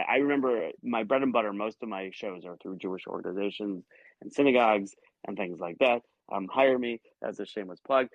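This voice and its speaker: Fast-rambling man on a dull dry mic. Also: on the low side, no echo. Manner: animated, rambling, self-interrupting. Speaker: comedian guest. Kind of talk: casual podcast conversation. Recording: dry muffled lo-fi sound.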